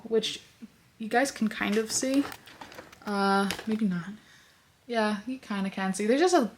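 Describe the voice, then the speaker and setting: Young woman, congested, rambling casual chat, bedroom. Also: medium pitch. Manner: rambling, lots of "like" fillers. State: congested, sniffly. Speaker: lifestyle vlogger. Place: bedroom at home.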